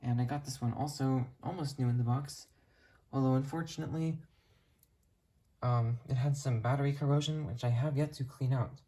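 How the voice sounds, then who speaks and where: Mellow, casual hobbyist giving a show-and-tell. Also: medium pitch, steady tone, moderate pace. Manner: matter-of-fact, detailed explaining. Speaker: hobbyist video host.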